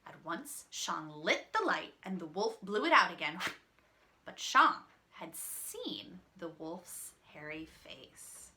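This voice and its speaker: A woman reads a storybook to children in a bright voice with animated pitch. She is expressive and explains things simply, in the way of a teacher. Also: takes it slowly. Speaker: teacher reading a picture book aloud.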